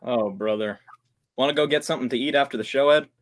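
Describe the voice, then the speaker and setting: A man's voice: mid-range voice, quick pace, lightly acted. A man playing a tired, dryly sarcastic studio worker in a 1940s-style radio drama.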